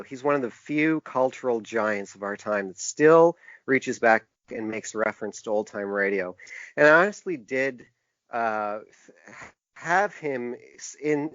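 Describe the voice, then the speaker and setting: A middle-aged man speaking casually as an interview guest, in a hesitant, halting, self-reflective way. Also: medium pitch, a bit bright, dry recording, dull audio. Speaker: podcast guest, author and small publisher.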